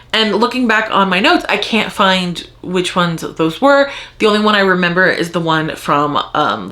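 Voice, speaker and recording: A woman chatting casually to viewers as a book vlogger, thinking aloud and interrupting herself in an expressive, rambling way. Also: mid-range voice. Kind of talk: casual chat to viewers, answering tag questions.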